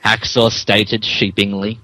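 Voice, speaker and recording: A man's voice: on the low side, flat delivery, takes it slowly, pushed and bright, no room sound, poor audio. A man narrating fan fiction for a comedy podcast, adding wry asides as he reads.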